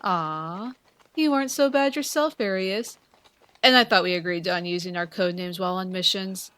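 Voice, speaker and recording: A woman's voice: mid-range voice, moderate pace, fairly bright tone, clean tone, dry recording, lightly acted. A woman playing a seasoned, friendly vampire hunter who mentors a newcomer in a conversational, teasing way in a fantasy audio roleplay. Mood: playful, teasing. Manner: conversational, explanatory, playful teasing. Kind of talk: scripted fantasy audio roleplay addressed to the listener.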